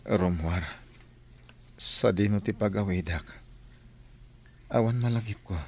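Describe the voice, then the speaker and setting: A man's voice: fairly low pitch, takes it slowly, gravelly, darkish tone, slight background noise. A man with an Ilocano Filipino accent playing a bewildered, injured amnesiac in a radio drama. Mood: dazed.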